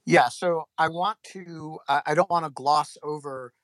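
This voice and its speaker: A man hosting a podcast interview, talking haltingly with many restarts. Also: a little high, fairly bright tone, no room sound. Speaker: podcast host.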